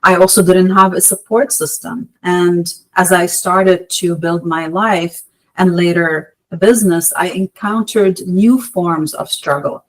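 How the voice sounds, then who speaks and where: A woman from Lebanon giving measured, articulate, motivational answers as an interview guest, with emphatic phrasing. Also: fairly low pitch, fairly even intonation, low-quality recording. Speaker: podcast guest, entrepreneur.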